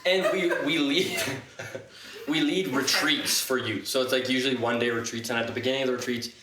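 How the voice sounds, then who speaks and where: Brief: young host from ottawa, bright forceful voice, joking and slangy, roomy echo. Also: male voice, medium pitch, moderate pace. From Ottawa, Canada. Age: young adult. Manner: playful, laughs often, slangy. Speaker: podcast host. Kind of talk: casual podcast chat.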